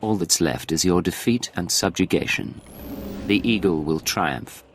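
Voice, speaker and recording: An actor playing a cool, hard imperial secret agent in a video game. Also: male voice, deep voice, lively intonation, measured pace, soft background music. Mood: cold threat. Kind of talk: video game drama acting.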